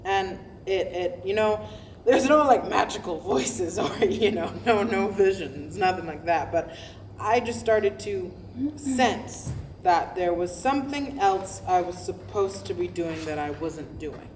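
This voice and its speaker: Earnest female pastor, played by an actress, unhurried and slightly high, thoughtful stop-start conversational delivery, faint background noise. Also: lively intonation, darkish tone. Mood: reflective. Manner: halting, thoughtful, self-correcting. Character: female Lutheran pastor reflecting on her calling, earnest. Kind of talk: verbatim theatre monologue, conversational. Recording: faintly noisy live staged reading.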